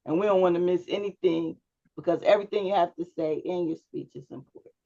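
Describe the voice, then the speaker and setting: A woman's voice: fairly low pitch, fast, vocal fry, warm tone, dull audio. A woman public speaking instructor giving one-on-one feedback to a student over a video call, often checking in with "right?". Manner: coaching, checks understanding with frequent "right?".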